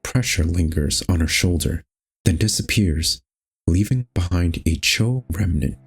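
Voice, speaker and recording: A man's voice: low voice, animated, unhurried, gravelly, husky. A man reading scripted, storybook-style narration for a horror game.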